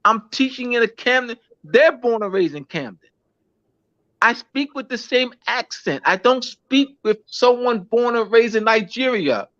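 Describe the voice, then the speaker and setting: A man, a schoolteacher, talking in a casual interview, halting with many restarts and fillers. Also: extremely high pitch, a bit bright. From United States. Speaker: schoolteacher guest. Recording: dull lo-fi recording.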